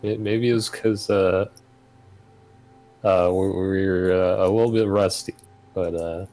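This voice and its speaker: A man's voice: sits low, steady tone, measured pace, dark tone. A young man chatting casually and a little hesitantly as a co-player in a gaming video. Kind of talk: casual chat. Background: game music.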